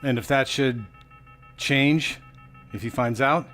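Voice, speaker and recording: A man's voice: mid-range voice, slow pace, a bit bright. An actor playing a cool, commanding male agent handler in an audio drama, speaking tersely. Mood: cool, wary. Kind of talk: understated dramatic acting.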